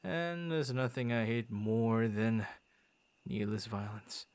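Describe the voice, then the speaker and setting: A man's voice: medium pitch, steady tone, slow pace, a little mellow, smooth, dry recording. A man voicing a friendly, bashful werewolf who guards his forest, stammering and playfully theatrical, in an ASMR boyfriend roleplay. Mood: quietly menacing.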